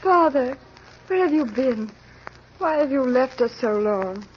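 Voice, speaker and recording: An actress playing a tender, pleading young daughter in an old radio drama, speaking with emotion. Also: female voice, sits high, animated, unhurried. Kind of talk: 1930s radio drama acting. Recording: muffled, noisy old radio recording.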